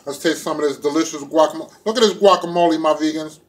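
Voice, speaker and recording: Higher-pitched man chatting casually over food. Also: fast talker, slight background noise. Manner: laid-back, playful, colloquial. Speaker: vegan food vlogger. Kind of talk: casual chat to camera while eating. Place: small room indoors at home. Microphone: phone or camera propped within arm's reach.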